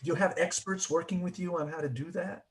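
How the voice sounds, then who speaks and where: A man's voice: high voice, steady tone, brisk. A middle-aged man from Miami interviewing a guest, asking long, rambling questions in a chatty way. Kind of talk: casual interview.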